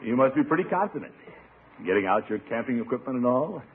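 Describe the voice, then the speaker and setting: A man's voice: medium pitch, big pitch swings, narrow, tinny audio, a loud crowd. A man playing a genial, pompous middle-aged uncle with an avuncular manner in a 1950s radio sitcom. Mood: pompous, probing. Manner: avuncular, drawn-out, self-satisfied. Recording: thin, hissy old radio recording.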